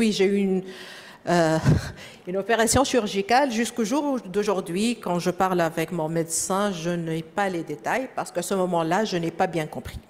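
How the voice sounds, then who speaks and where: A woman with a French-speaking accent giving a conference presentation, often pausing with fillers and repeating words as she searches for the next phrase. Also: medium pitch, a little mellow. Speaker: presenter at a professional development session. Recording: echoey hall.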